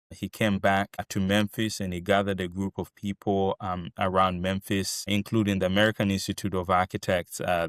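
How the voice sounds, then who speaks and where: A man's voice: low-pitched, a bit bright, no room sound, clean studio sound. A man in his thirties with a Ugandan accent, telling his life story earnestly, with many fillers and restarts. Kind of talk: personal storytelling to camera.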